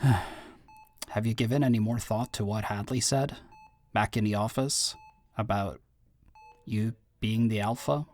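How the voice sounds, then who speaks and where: Actor as loyal brotherly man, audio roleplay: slightly low pitch, persuasive, earnest, natural conversational delivery. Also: unhurried, long pauses, a little mellow. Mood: hesitant. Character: earnest, insistent brotherly friend urging her to lead.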